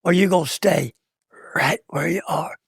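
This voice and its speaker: Raspy, high-voiced coach preaching grit. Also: male voice, normal speed, no echo, clean studio sound. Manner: punchy, preachy motivational talk with sudden loud bursts. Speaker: podcast co-host, coach. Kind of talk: casual podcast chat.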